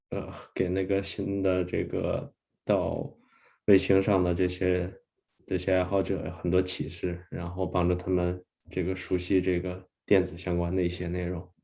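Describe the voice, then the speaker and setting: A Chinese-accented man acts as an informal interpreter. His voice is fairly low and dark. He speaks slowly and haltingly, feeling for his words. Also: vocal fry. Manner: halting, hesitant. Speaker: volunteer interpreter.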